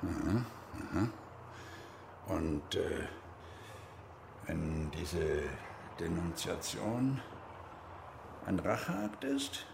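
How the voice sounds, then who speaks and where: A man's voice: low voice, big pitch swings, very slow, gravelly, pauses often, background noise, full character voice. An actor playing a stern, agitated older army major and father in a radio crime drama. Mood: probing. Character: stern, agitated East German army major, father, recounting his past.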